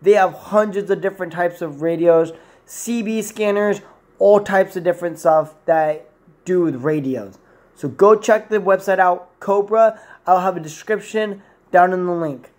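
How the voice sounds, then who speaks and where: A man's voice: high-pitched, unhurried, a little mellow. A man in his twenties giving a rambling, informal product review to camera in a room at home. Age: 20s. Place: small indoor room at home.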